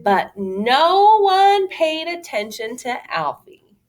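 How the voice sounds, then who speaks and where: A woman reads a picture book aloud for children. Her delivery is dramatic and very lively in pitch, moving at an unhurried pace with clear expressive emphasis. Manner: dramatic, exclaiming. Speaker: teacher reading aloud.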